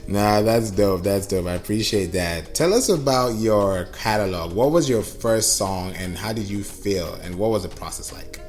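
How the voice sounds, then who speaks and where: A man's voice: fairly low pitch, normal speed, hardly any pauses, darkish tone, soft background music. A man hosting a casual podcast interview, laid-back and slangy, encouraging his guest. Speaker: podcast host.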